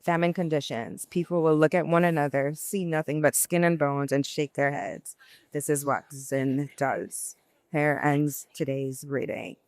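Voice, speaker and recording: Smooth, clear woman reading scripture. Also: sits low, steady tone, a little slow, no echo. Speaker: scripture reader. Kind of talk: expressive scripture reading aloud.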